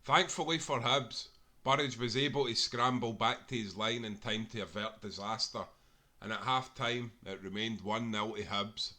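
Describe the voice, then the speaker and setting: Bright-voiced Scottish man reading a story. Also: lowish voice, steady tone, moderate pace. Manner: earnest reading with small self-corrections. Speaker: narrator. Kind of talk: read-aloud football history narration.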